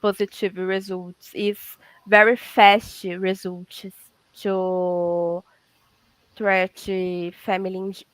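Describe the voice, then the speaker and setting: A woman with a Brazilian Portuguese accent, a veterinarian guest speaking halting, repetitive non-native English in an informal conversation. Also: medium pitch, level tone, dry recording, rough recording.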